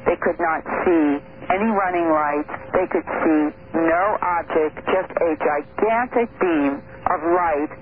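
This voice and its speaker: A middle-aged woman speaking as a guest on a radio interview, telling her findings in an earnest, measured, detailed way over the phone. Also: deep voice, noisy background. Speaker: guest investigator. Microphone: phone call into radio show. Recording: tinny phone line on old radio broadcast.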